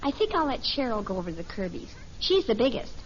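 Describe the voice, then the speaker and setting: An actress playing an earnest, eager teenage daughter in a 1950s radio family sitcom. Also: female voice, fairly high pitch, very animated, quick pace. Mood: busy, earnest. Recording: muffled, noisy old radio recording.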